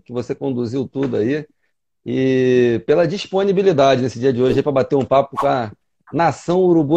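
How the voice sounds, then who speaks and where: A man's voice: a little high, creaky, dark tone. A man hosting a casual interview, talking in a chummy, friendly way. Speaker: interview host. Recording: dull lo-fi sound.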